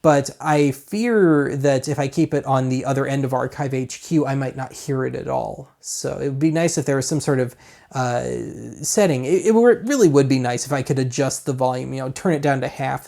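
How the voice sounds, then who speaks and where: A man's voice: medium pitch, moderate pace, vocal fry, a little mellow. An American man hosting a casual gadget walkthrough in a rambling, colloquial, self-deprecating way. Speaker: host of a gadget review show.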